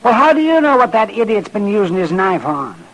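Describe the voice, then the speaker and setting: An actor playing a crotchety, fretful frontier doctor in a radio western, grumbling with theatrical, querulous flair. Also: male voice, sits high, big pitch swings, brisk, a bit bright, heavily acted, low-quality recording. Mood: grumbling, needling. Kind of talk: old-time radio western acting.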